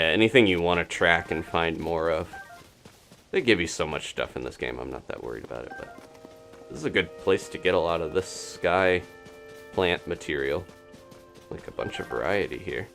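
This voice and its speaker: Low-voiced man with animated, swooping intonation. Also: gravelly. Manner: chatty, thinking out loud. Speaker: video game streamer. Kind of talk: casual live gameplay commentary.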